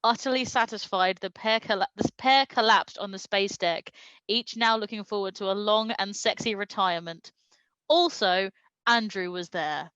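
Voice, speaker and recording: A woman's voice: mid-range voice, normal speed, a bit bright, clear, resonant tone, no room sound, muffled recording. A woman doing a comedic reading of fan fiction aloud in a mock-serious manner, prone to breaking into laughter. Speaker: reader at a comedy show.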